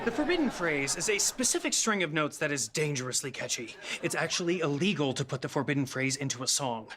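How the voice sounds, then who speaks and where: A voice actor playing the bossy leader of a council of pop stars, with a brisk, deadpan delivery. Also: medium pitch, crowd noise, close to an everyday voice. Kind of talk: cartoon voice acting.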